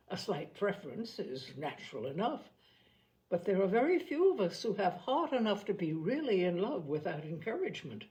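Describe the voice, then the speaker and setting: A woman's voice: mid-range voice, a little mellow, low-quality recording. Lady reading as a practical young regency woman giving firm advice, formal wording, voice goes up and down a lot. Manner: opinionated, formal, measured phrasing. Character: pragmatic, worldly young Regency gentlewoman advising a friend.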